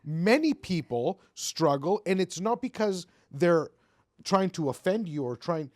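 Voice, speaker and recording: A man from Greece hosting a podcast interview, animated and building his points with rising emphasis. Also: sits high, a little slow, dry recording, clean studio sound. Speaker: podcast host. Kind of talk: conversational interview.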